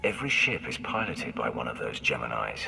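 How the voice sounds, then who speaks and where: Very deep, gravelly Sith commander. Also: male voice, big pitch swings, brisk, loud music behind the voice, heavily acted. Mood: calm menace. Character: commanding deep-voiced Sith warrior, calm strategist. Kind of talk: video game cutscene acting.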